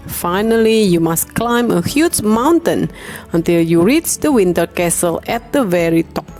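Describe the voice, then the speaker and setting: A woman voicing an eager, excitable little girl pig from a children's storybook. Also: lowish voice, unhurried, soft background music. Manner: eager, bright-eyed questioning.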